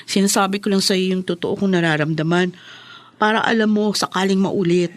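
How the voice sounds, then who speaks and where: A woman's voice: mid-range voice, fairly even intonation, fairly quick, close to an everyday voice. An actress in a radio drama playing a sensitive young first-time mother who speaks earnestly and plainly. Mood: hurt, candid.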